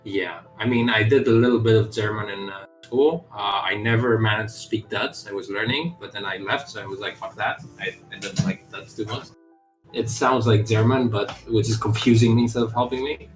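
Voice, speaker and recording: A man with a fairly low voice chats loosely on a live game dev stream. He rambles, fills gaps with "like" and swears in passing. The room sounds echoey, with faint music underneath. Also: steady tone, fairly quick. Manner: rambling, heavy on "like" fillers, casual swearing. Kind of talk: casual live-stream chat.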